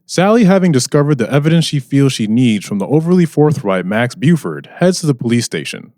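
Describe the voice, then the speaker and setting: A man's voice: medium pitch, very animated, a little fast, darkish tone, no echo, studio quality, faint music behind, lightly acted. A man playing a dramatic narrator, reading scripted narration for a mystery radio serial. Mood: suspenseful.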